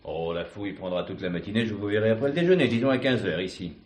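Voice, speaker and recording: A man acting as a police commissioner in a 1970s French stage thriller. His voice sits low but moves widely in pitch. He is brisk, dryly courteous and used to being obeyed. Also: expressive, lightly acted. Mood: firm, official. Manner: authoritative, insistent, formally polite.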